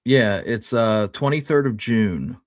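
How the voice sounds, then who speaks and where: A man chatting casually as a co-host and fellow researcher, in a halting, understated way. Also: fairly low pitch, lively intonation, no room sound, clean studio sound. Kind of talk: casual chat about research.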